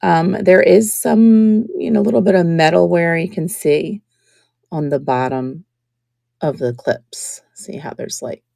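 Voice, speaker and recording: Slow, gravelly-voiced woman host. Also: on the low side, no room sound, studio quality. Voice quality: scratchy. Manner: slow, chatty, drops to soft whispers while showing pieces. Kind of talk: casual live-stream selling chat.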